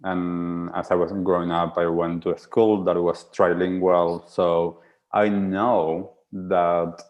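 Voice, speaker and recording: Deep-voiced Argentine-born host, reflective. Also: male voice, level tone, slow, dull audio. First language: Spanish. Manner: reflective, many fillers like "like" and restarts. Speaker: podcast host. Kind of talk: conversational podcast interview.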